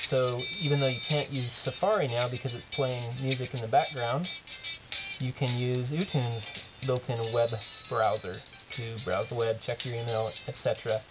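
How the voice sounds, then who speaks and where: Male app demonstrator, casual and halting delivery, muffled lo-fi camera audio with music behind. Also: medium pitch. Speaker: app developer presenting a demo. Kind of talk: informal software demo narration.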